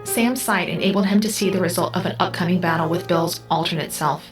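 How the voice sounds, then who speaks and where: Casting: actress for a stoic machine intelligence, female, fairly low voice, formal and precise, for sci-fi audio drama. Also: a little fast, music in the background, lightly acted. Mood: clinical, resigned. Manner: formal, exact, unemotional. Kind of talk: scripted audio drama acting.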